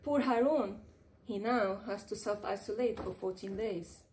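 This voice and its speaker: A woman reading a children's storybook aloud in an expressive, dramatic way with playful emphasis. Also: medium pitch, measured pace, slight background noise. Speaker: children's author reading her own book aloud. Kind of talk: animated storybook read-aloud.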